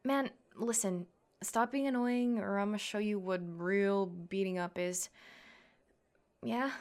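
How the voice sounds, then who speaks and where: A woman's voice: mid-range voice, takes it slowly, darkish tone. A young woman playing a tough, cocky tsundere classmate who fights MMA, brash, bossy and teasing, in an ASMR roleplay. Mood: annoyed, bossy. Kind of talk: ASMR girlfriend roleplay acting.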